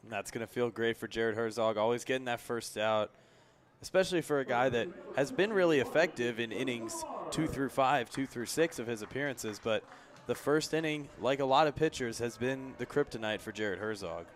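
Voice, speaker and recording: Mid-pitched man giving baseball analysis. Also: normal speed. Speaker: color commentator. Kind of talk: conversational sports analysis.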